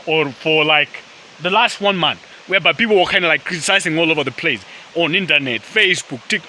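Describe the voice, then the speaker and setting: Swahili-native male vlogger, rambling and filler-heavy, keeps saying guys, sharp edge to the voice. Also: fairly high pitch, a little hiss or hum, poor audio. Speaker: YouTube vlogger. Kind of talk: casual talk to camera. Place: outdoors in a forest by a river.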